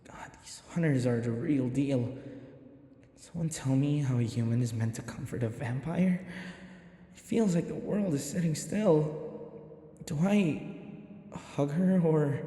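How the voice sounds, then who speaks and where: A man acting a nervous, devoted young manservant in an audio roleplay, hesitant and deferential, with a soft, breathy, gravelly voice. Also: a little high. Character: nervous, devoted, submissive young human manservant to a vampire mistress.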